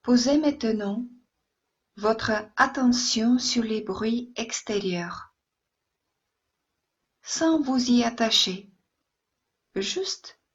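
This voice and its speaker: A woman yoga instructor leading a guided relaxation meditation in a soft, soothing, gentle way, with long pauses between phrases. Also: fairly high pitch, husky, highs cut off.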